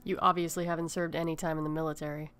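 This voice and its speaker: Woman playing a tough low-voiced army veteran. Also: flat delivery, very fast, fairly bright tone. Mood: wry. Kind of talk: audio drama dialogue.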